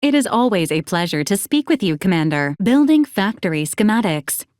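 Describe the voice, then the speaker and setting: A woman's voice: medium pitch, big pitch swings, normal speed, darkish tone, dry recording, studio quality, lightly acted. A woman playing a polite, literal robot assistant unit in a video game, speaking formally and precisely with clinical detachment. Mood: formal, detached. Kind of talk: scripted video game character lines.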